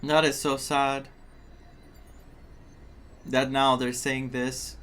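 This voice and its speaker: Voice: medium pitch, level tone, extremely slow, fairly bright tone, smooth. A game streamer giving casual, musing reactions in murmured asides while playing through a story.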